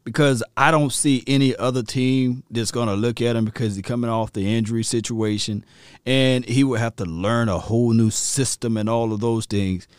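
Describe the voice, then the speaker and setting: Animated Mississippi-accented sports host. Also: male voice, mid-range voice, normal speed, clean tone, dry recording, clean studio sound. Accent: Southern US, Mississippi. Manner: animated, laughing, talks straight to listeners. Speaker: podcast host. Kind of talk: casual sports commentary.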